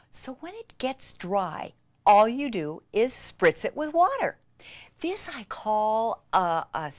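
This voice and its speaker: An excitable, gushing woman giving an enthusiastic crafting tutorial, with breathy exclamations and soft, near-whispered asides. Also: mid-range voice, dry recording. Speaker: craft demonstrator and presenter.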